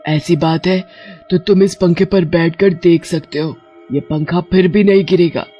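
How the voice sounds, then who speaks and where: A woman's voice: lowish voice, fairly even intonation, a little slow, dark tone, dull audio, faint music behind, close to an everyday voice. Woman playing a vengeful, menacing witch ghost. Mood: threatening. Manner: menacing, theatrical. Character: vengeful murderous witch ghost haunting a ceiling fan. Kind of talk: animated horror story acting.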